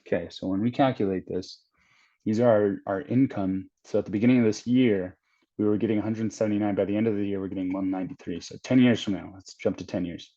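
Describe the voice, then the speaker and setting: A man's voice: fairly low pitch, steady tone, quick pace, mellow. A man in his thirties hosting a trading channel, talking casually and thinking aloud with restarts as he works through numbers on screen. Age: 30s. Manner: rambling, thinking aloud with restarts while calculating. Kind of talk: casual screen-share walkthrough. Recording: dull lo-fi sound.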